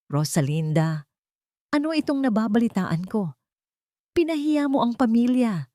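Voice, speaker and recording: A woman's voice: fairly high pitch, sing-song, unhurried, mellow, no room sound, studio quality, pitched above the performer's own voice. A woman voicing a judgmental aunt who scolds with reproach in a story. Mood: scolding. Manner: reproachful, bossy.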